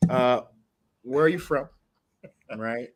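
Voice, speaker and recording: A man's voice: medium pitch, takes it slowly. A man in his thirties hosting a live-stream interview, asking rambling questions with many restarts. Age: 30s. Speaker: host.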